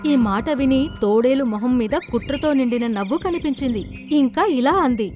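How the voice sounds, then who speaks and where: Female children's story narrator, high register, scripted delivery, prominent music bed. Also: fairly quick, darkish tone.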